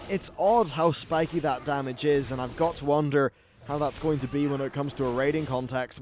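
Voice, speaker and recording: A young man giving a chatty, informal, mildly sweary commentary as he plays through a video game. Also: on the high side. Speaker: gaming YouTuber. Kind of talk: casual game playthrough commentary. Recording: clear background noise, game ambience.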